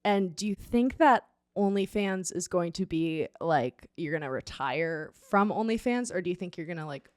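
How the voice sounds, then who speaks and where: Lower-voiced woman hosting, close and dry. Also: expressive, moderate pace, no room sound. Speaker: podcast host. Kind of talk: casual interview chat.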